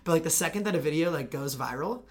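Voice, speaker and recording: Deep raspy young woman, rapid chatter. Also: lively intonation. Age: young adult. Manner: fast rambling with constant "like". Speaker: college lacrosse player and video creator. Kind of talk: casual podcast chat.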